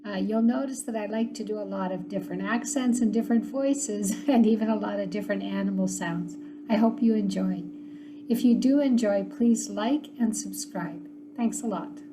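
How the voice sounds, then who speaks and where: A woman's voice: mid-range voice, moderate pace, background noise. A woman giving a casual introduction as an author and channel host. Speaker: author introducing own stories. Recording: music playing under the voice. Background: instrumental music.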